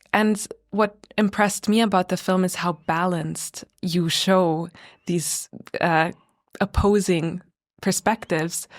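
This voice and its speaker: Lower-voiced woman host, dry sound. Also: dry recording. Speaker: podcast host. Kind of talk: interview questions.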